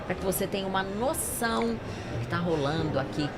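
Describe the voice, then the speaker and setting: Brazilian woman hosting amid clear background noise. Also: medium pitch, animated, moderate pace. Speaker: host of a live event podcast. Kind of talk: live interview chat, presenter sign-off. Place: live at a trade fair. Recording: clear noise of a busy fair. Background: trade fair ambience noise.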